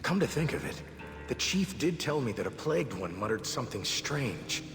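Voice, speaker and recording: An actor playing a modest, dutiful heroic prince in a fantasy video game, speaking earnestly and courteously. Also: male voice, medium pitch, sing-song, moderate pace. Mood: thoughtful, cautious. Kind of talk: dramatic video game dialogue. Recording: reverberant, loud music underneath.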